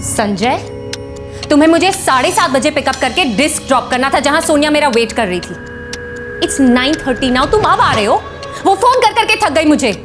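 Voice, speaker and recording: Casting: spoiled, discontented young wife in a TV serial, high-pitched, demanding, strong music underneath. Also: female voice, lively intonation, moderate pace. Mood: irritated, discontented. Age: young adult. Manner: complaining, mixes in English phrases. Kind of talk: Hindi TV crime serial acting. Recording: dramatic background music.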